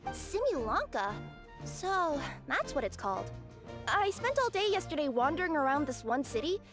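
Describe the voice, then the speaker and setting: A woman voicing a young, sweet, easily flustered cat-spirit girl in a video game, expressive and hesitant. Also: sits high, moderate pace, over music. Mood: bewildered. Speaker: game character voice. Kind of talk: animated game voice acting.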